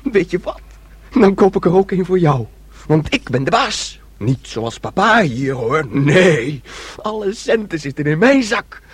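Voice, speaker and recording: An actor playing a naive, simple nineteen-year-old son in a radio drama, speaking in a guileless, childlike, earnest way. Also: male voice, high voice, very animated, unhurried, slightly rough, dry recording, full character voice. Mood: boyishly eager.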